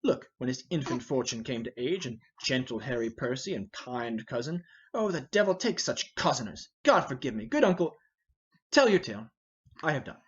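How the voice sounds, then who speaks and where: A man's voice: mid-range voice, big pitch swings, normal speed, husky, muffled recording, voice pushed down. A man playing a hot-headed, impatient young nobleman, ranting in a declamatory Shakespearean stage reading. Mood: agitated, scornful. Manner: impetuous, declamatory. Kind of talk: dramatic reading of a Shakespeare play.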